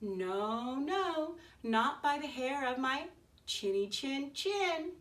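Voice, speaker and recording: A woman voicing a small, clever, polite little pig in a read-aloud storybook, squeaky and earnest. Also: expressive, slow pace, fairly bright tone, exaggerated voice. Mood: defiant. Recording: distant mic, faint background noise.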